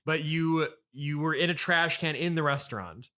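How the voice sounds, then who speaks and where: A man's voice: fairly high pitch, moderate pace, pushed and bright. A man interviewing as a podcast host in an improvised comedy chat, questioning incredulously and echoing what he hears.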